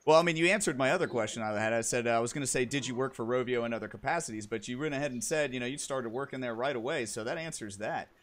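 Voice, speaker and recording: A man's voice: mid-range voice, very fast, few pauses, fairly bright tone. A man hosting a livestream, interviewing a guest and then calling players into custom matches in an upbeat, directive way. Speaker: stream host.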